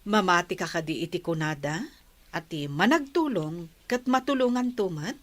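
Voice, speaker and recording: A woman's voice: fairly low pitch, expressive, moderate pace, close to an everyday voice. Encouraging, kindly woman benefactor played by an actress. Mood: earnest. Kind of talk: Ilocano radio drama acting.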